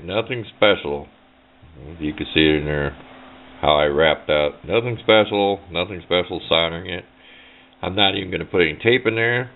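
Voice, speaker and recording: Low-pitched man, tinny recording. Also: slight background noise. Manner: plain-spoken, rambling, offhand. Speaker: hobbyist presenter. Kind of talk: casual hands-on how-to demonstration. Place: indoors at a workbench. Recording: tinny, band-limited camera microphone sound.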